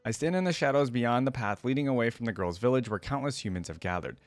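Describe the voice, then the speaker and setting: A man voicing a shy, clumsy young female fawn spirit as he reads a fantasy story aloud in a gentle, hesitant manner. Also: medium pitch, fast, dry recording, faint music behind, lightly acted. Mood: timid. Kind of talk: first-person fantasy story read aloud.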